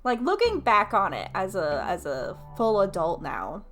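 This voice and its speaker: A woman in her late twenties chats to her stream in a mid-range voice, taking her time over each phrase. She is candid and crude-humored, swearing freely and poking fun at herself. Music plays clearly behind her. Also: medium pitch, wildly swooping pitch, measured pace, fairly bright tone. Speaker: game streamer. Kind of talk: casual storytelling chat with livestream viewers.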